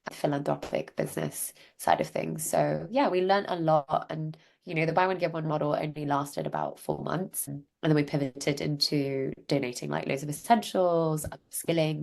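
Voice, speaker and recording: Low, gravelly-voiced young woman chatting. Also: a little mellow. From Hong Kong. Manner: chatty, many "like" fillers, loose restarts. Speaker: guest, social enterprise founder. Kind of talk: casual interview storytelling.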